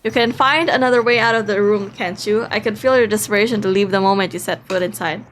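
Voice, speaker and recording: A woman's voice: mid-range voice, fast talker, fairly bright tone, slight background noise, lightly acted. A woman voicing a controlling, coaxing male puppeteer in a visual novel, urging and insistent. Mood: coaxing, urgent. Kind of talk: visual novel dialogue read aloud.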